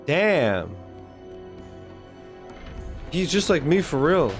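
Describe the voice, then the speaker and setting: A man's voice: fairly high pitch, very animated, very slow. A man streaming his reactions to game cutscenes in casual, joking chat, swearing freely. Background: video game cutscene audio and music.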